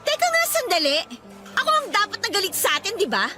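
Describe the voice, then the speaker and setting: High lively female voice, feisty young girlfriend, sassy, evasive, Filipino accent, radio romance drama. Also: brisk, loud music behind the voice. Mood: irritated, defensive. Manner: sassy, sharp-tongued.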